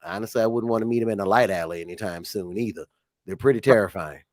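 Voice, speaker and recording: A man's voice: lowish voice, fast, darkish tone, no room sound. Stammering man, dry close sound. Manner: stammering, nervous restarts, joking. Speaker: wrestling referee and co-host. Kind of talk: casual podcast chat.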